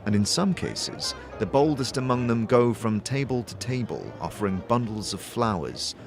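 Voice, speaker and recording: A man's voice: medium pitch, animated, moderate pace, creaky, over music. A man giving scripted second-person narration for a fantasy audio drama.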